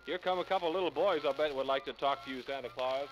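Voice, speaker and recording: Male announcer, vintage 1950s live radio, middle pitch, halting, folksy, coaxing, loud music in background. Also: muffled recording. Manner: halting, folksy, repeats answers and prompts. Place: toy store live broadcast.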